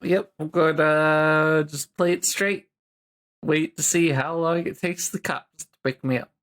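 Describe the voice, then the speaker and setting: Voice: medium pitch, fairly even intonation, unhurried, a bit bright. A player in a tabletop game with a laid-back, giggly way of talking. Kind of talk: casual tabletop roleplay.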